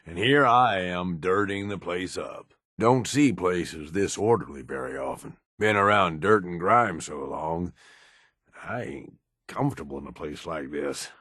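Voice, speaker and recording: A deep, close and dry male voice for a video game: a gruff middle-aged raider who serves as the boss's right hand. He talks bluntly and pragmatically, worn down by years of experience, in loose, profane slang. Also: lively intonation, a little slow, a bit bright, no room sound, full character voice. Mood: wry. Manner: blunt, world-weary, drawling slang and profanity. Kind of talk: video game character dialogue.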